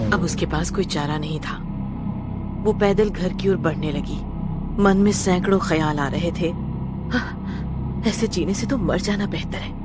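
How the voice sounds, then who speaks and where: A woman's voice: fairly low pitch, very noisy. A woman reading a scripted horror story as storyteller, with dramatic, suspenseful delivery. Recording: loud music bed behind narration.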